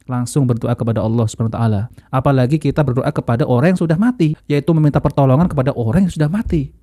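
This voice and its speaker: A man's voice: medium pitch, rapid-fire, mellow, dry recording, clean studio sound. A man with an Indonesian accent hosting an informal religious commentary, speaking directly and earnestly to viewers in an admonishing way. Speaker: video host reacting to a lecture.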